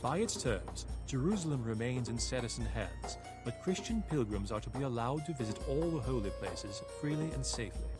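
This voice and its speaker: A man playing a reflective Norman crusader knight, narrating a video game campaign in a measured, grave, formal way. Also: medium pitch, animated, lightly acted. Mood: reflective, wistful. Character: captured Norman crusader narrating his years with Saladin, reflective, solemn. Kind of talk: scripted dramatic storytelling narration. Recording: voice over a clear music bed. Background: game cutscene music.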